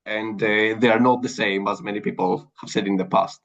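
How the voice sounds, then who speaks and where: A man with a Greek accent talks in a measured, earnest way as the guest of an interview. His voice sits low. The sound is dull and lo-fi. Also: steady tone. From Greece. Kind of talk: career interview.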